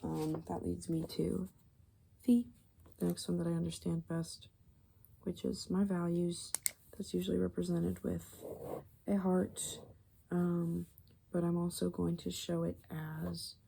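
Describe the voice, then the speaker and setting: A woman doing soft, rambling ASMR, musing hesitantly as she thinks aloud, her voice fading toward whispers. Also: fairly low pitch, little pitch movement, unhurried, creaky, a little hiss or hum. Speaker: ASMR video creator.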